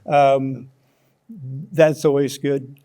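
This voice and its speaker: An older American man answering as an interview guest, reflective and halting as he tells stories in a conversational way. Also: medium pitch, level tone, warm tone, clean tone. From United States, Kansas. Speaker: interview guest, author and former sports journalist.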